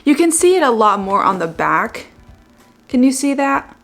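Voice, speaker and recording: Young woman, stuffy nose, high-ish voice, chatty, rambling, vlogger. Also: sing-song, normal speed, fairly bright tone, soft background music. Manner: chatty, rambling, frequent fillers. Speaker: fashion vlogger. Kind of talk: casual chat to camera.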